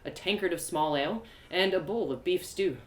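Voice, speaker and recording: A woman narrating a first-person story live, in an expressive, theatrical storytelling manner. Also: lowish voice, normal speed, echoey room, background noise. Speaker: storyteller, audio drama creator.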